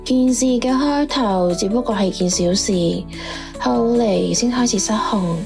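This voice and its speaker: A woman's voice: fairly high pitch, unhurried, gravelly. A woman playing a world-weary informant who reports her findings in a matter-of-fact, resigned way in an audio drama. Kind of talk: radio drama dialogue. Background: music.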